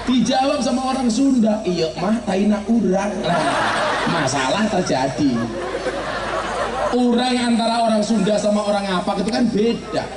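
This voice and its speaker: Middle-aged man, teasing, jokey preacher; higher voice, roomy, distant pickup. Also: normal speed. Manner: joking, teasing the audience, mixing regional dialect. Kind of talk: humorous sermon to a crowd. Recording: roomy, from far off, crowd and noise behind.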